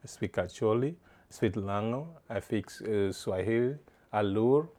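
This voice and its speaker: A middle-aged man from East Africa answering interview questions in a halting way, restarting phrases as he searches for words. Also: lowish voice, mellow.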